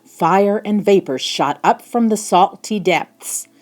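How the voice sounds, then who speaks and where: A woman narrating a children's picture book in an expressive storytelling style. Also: medium pitch, slow pace. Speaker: storybook reader.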